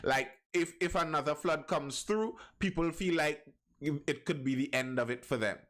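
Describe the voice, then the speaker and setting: A man's voice: a little high, normal speed, fairly bright tone. Chatty Trinidadian man hosting a live stream. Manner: chatty, digressive, restarts sentences. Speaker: live show host. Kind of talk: live-stream commentary and comment reading.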